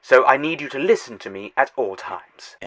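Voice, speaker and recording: Male actor as an arrogant alien Eternal, smug and scornful, very animated pitch, mid voice. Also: normal speed, close to an everyday voice. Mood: irritated. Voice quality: sarcastic, condescending. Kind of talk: fan audio drama.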